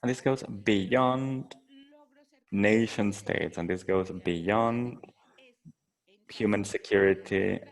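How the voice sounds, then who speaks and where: A man with a Colombian accent who moderates a panel discussion and interprets the speakers into English, in a measured, formal way. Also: on the low side, vocal fry, rough recording.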